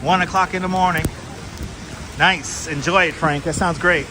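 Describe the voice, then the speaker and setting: A man's voice: on the high side, fairly even intonation, moderate pace, noisy background. A man hosting a live street stream outdoors at night, chatting casually and answering viewers by name, on a clip-on mic. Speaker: livestream host. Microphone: wireless clip-on mic.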